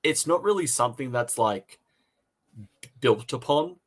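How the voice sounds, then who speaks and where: A man co-hosting a casual review chat, talking in a halting way and rephrasing as he goes. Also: medium pitch, expressive. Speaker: podcast co-host reviewing audio dramas.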